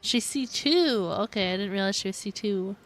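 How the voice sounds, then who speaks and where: A woman's voice: medium pitch, expressive, moderate pace, soft background music. A woman streaming a video game, chatting casually and giggly with her viewers. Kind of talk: casual livestream chat with viewers.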